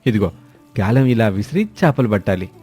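A man voicing a fond, encouraging young village-born husband in an animated moral story, over music. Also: fairly low pitch, moderate pace, breathy edge. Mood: cheerful.

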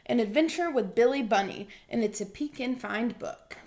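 A woman's voice: on the high side, animated, normal speed, a little mellow. A young woman reading aloud for a children's storytime, recorded at home in a small room on a computer mic. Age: young adult.

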